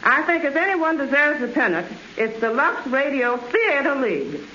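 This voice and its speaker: A woman's voice: sits high, very animated, moderate pace, bright, forceful tone. A woman on a radio broadcast from her home, reading lines in a teasing, playful way. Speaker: guest's wife. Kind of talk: scripted remote radio chat. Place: home trophy room. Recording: echoey, dull remote radio line, hiss.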